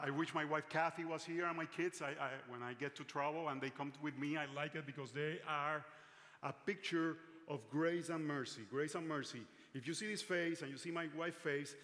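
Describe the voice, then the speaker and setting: A man's voice: mid-range voice, pushed and bright. A man from Puerto Rico with a Puerto Rican Spanish accent, preaching in a conference hall and joking about himself. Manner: joking, self-deprecating. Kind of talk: sermon with live interpretation. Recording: large hall reverb, faint noise.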